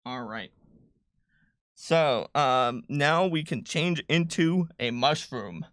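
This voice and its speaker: A man's voice: mid-range voice, expressive, slow pace, fairly bright tone, no room sound, studio quality. A man hosting a livestream in casual chat, talking playfully as he thinks aloud through building a tabletop game character.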